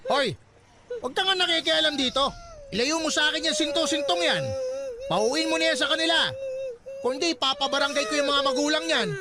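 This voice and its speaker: A man's voice: extremely high pitch, fairly quick, over a noisy crowd. A man playing a big, grumpy village father who scolds and threatens. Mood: scolding. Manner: scolding, bullying.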